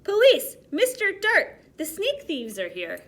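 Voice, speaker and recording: A woman voicing an indignant storybook boy hero in a loud, urgent shout. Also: extremely high pitch, huge pitch swings, measured pace, voice pushed up, full character voice. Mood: furious alarm.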